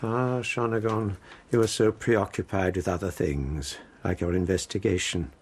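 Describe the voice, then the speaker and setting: An actor playing a sanctimonious, insinuating court priest with faint menace and an unctuous, formal manner, in a radio drama. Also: male voice, deep voice, steady tone, normal speed, breathy edge. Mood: insinuating, ominous.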